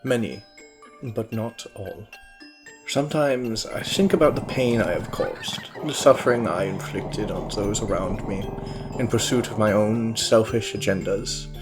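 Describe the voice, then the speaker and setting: An actor playing a sinister, brooding scientist who narrates in a measured, theatrical and wryly menacing way. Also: male voice, lowish voice, natural voice. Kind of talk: dramatic scripted narration. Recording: music bed behind the voice.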